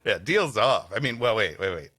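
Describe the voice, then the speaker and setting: A man's voice: lowish voice, very animated, moderate pace, sharp tone, slightly rough, no room sound, clean studio sound. A man co-hosting a comedy podcast, improvising wry quips and asides in casual banter. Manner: quipping, wry.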